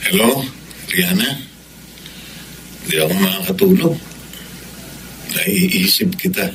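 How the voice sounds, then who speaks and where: A man's voice: low-pitched, slow pace, fairly bright tone. A man playing a lovesick, pleading young suitor on a phone call in a radio melodrama. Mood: yearning. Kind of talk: Tagalog radio melodrama acting. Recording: faint noise and ambience behind.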